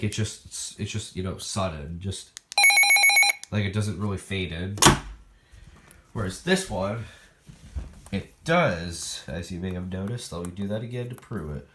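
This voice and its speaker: Male hobbyist presenter, low voice, casual demo style, thinking out loud, clearly noisy recording. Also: normal speed, long pauses. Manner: rambling, thinking aloud. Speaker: collector presenting weather radios. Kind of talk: casual product demo vlog.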